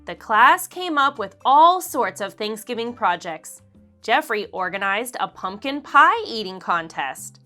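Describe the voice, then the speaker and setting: A woman reading a children's story aloud in an expressive, deliberate storytelling manner. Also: a little high, soft background music. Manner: expressive, deliberate, acts out dialogue. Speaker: children's book narrator. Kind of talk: read-aloud storytelling for kids.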